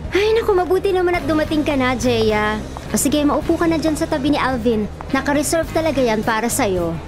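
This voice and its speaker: A breezy, bossy young Filipino matchmaker in a radio drama. Her voice is fairly high and swings playfully as she teases. Music runs underneath. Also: animated, brisk. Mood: mischievous. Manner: breezy, teasing, exclamatory.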